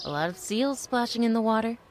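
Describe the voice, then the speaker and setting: A woman playing a brisk, good-humored, warm-hearted young mother in an old-time radio romantic comedy. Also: a little high, animated, a little hiss or hum, natural voice. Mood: amused. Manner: polite, teasing, brisk.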